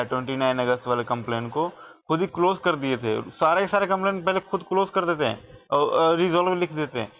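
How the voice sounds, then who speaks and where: An Indian man making a complaint as a customer on a phone call, speaking in an insistent, repetitive, aggrieved way. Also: on the high side. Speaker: customer calling support with a complaint. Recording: tinny phone call recording.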